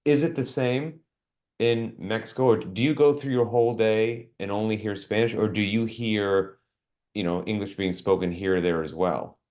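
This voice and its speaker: A man's voice: on the low side, steady tone, moderate pace, darkish tone. A man hosting an interview podcast, curious and affirming, asking his guest questions in a casual way. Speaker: podcast host.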